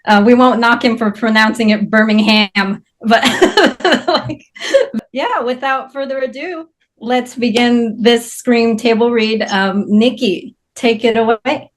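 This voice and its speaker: A woman's voice: a little high, fairly even intonation, slow. A young woman informally hosting an online table read, introducing things as host. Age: young adult. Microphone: video call microphone.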